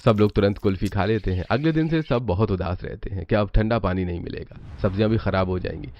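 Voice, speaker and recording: A man's voice: on the low side, quick pace, muffled recording, slight background noise. A man reading scripted narration for a cartoon family story.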